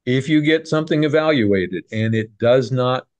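A man's voice: medium pitch, animated, normal speed, darkish tone, dry recording. A middle-aged man hosting a casual video call from home, stumbling over repeated words and restarts and dropping folksy sayings. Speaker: clinic host. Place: home room. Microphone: video call webcam.

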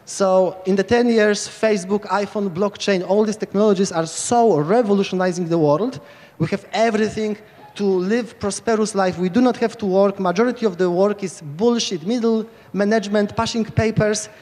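Excitable Polish man on a reverberant stage. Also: high-pitched, moderate pace. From Poland. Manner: rambling, enthusiastic, many restarts. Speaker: lightning talk speaker. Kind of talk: informal conference talk. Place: large tent stage at hacker camp. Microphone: handheld mic.

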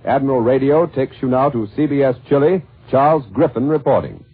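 Husky American newsman on old narrow-band radio. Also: medium pitch, expressive, fairly quick. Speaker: radio news anchor. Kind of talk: 1940s radio newscast, scripted. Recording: narrow, rough 1940s radio broadcast.

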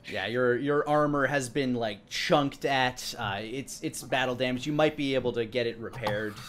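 A man in his thirties narrating a tabletop game as dungeon master, halting and pausing as he goes. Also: medium pitch, fairly bright tone. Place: indoor room, online game stream. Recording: clear background noise on mic.

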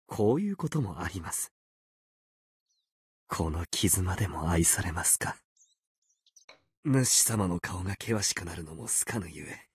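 A man's voice: deep voice, a bit bright, husky, no echo, clean studio sound, full character voice. A man voicing a proud, playful fox-spirit swordsman in a video game, speaking in polite, archaic, theatrical phrases. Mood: pained, restrained. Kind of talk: Japanese video game character voice lines.

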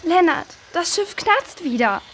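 A young girl in a children's radio adventure. She is curious and easily worried, with a high voice and lively, swooping intonation as she thinks aloud. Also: measured pace, a little hiss or hum, heavily acted. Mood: anxious.

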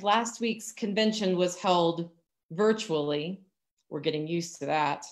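A woman in her thirties with an American accent gives a sermon in measured, deliberate phrases with emphatic pauses, over a video call from a room at home. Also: medium pitch. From Virginia, United States. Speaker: pastor. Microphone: computer mic on a video call.

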